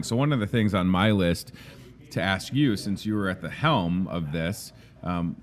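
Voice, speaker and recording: A man hosting a local talk show, loud and enthusiastic, speaking in an emphatic, animated way. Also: low-pitched. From Midwestern United States. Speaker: host. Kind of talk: casual interview chat.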